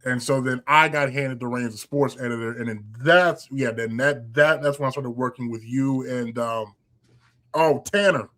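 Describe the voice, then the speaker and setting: A man's voice: medium pitch, moderate pace, fairly bright tone. A young man hosting a podcast, chatting casually and rambling through his memories with many restarts. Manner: rambling, lots of fillers and restarts. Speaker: podcast host. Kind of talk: casual interview chat.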